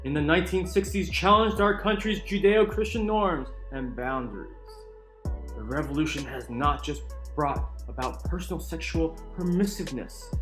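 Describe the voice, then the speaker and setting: A man with a fairly high voice reads a book aloud in a theatrical, emphatic way. He leaves deliberate pauses between phrases. The room echoes noticeably, and soft chill music plays underneath. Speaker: channel narrator reading a book aloud. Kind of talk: dramatic reading of a book foreword. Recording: roomy echo with music underneath.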